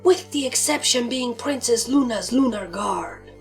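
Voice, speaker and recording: A woman voicing an earnest bat pony mare recruit with a soft, breathy, quiet voice in a story reading. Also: fairly high pitch, lively intonation, measured pace, faint music behind, voice pushed up. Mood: quietly earnest.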